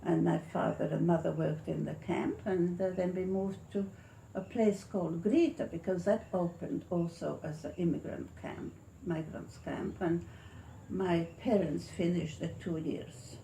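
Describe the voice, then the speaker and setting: Elderly Latvian woman, halting, mellow voice. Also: fairly low pitch, creaky. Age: 80s. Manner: halting, searching for words. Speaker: interviewee recalling own life. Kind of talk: oral history reminiscence. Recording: echoey room with faint noise.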